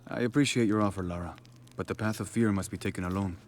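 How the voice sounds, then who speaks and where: Man as grave, deep-voiced rebel warrior. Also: fairly even intonation, a little hiss or hum, lightly acted. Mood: firm. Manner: formal, deliberate, few contractions. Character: grave, proud male rebel warrior leader. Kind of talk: dramatic video game cutscene acting. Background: faint background music.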